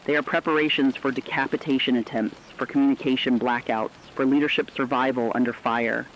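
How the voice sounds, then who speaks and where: Through a dull, slightly noisy radio feed comes a serious correspondent played by a man. The voice sits at a medium pitch. The scripted analysis is deliberate and foreboding. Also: fairly even intonation, lightly acted. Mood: sober, foreboding. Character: sober news correspondent analysing a looming war. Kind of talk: scripted emergency broadcast analysis. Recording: dull lo-fi radio sound, faint noise.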